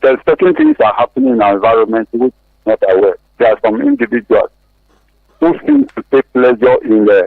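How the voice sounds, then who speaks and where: A man calling a radio show by phone, recounting things in a halting way and repeating phrases. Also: mid-range voice, wildly swooping pitch, vocal fry, dry recording. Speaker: phone-in caller. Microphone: phone call. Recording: muffled dry radio phone line.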